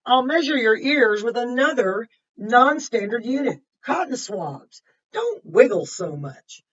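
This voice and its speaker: A woman reading aloud as an eager schoolgirl who chatters to her dogs in a storybook, with an expressive delivery. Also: a little high, a little slow, husky, dull audio, voice pushed up, heavily acted. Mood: eager, bossy. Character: eager schoolgirl measuring her dog for homework.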